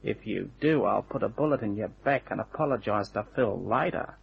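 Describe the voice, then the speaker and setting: An actor playing a menacing, smug working-class hired gunman in a radio crime drama, with slangy, taunting, unhurried talk. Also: on the low side, vocal fry, slightly rough, heavily acted. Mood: cold menace. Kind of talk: old-time radio crime drama acting. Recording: dull, band-limited old radio recording.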